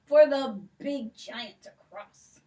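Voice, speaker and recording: A woman librarian narrating a folk tale for children, slow and expressive. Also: high-pitched, distant mic.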